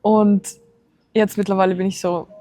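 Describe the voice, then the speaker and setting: A woman in her twenties chatting casually and agreeably with a friend, often laughing softly as she talks. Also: medium pitch, level tone, normal speed, no room sound. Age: 20s. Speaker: trader and channel host. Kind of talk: casual chat.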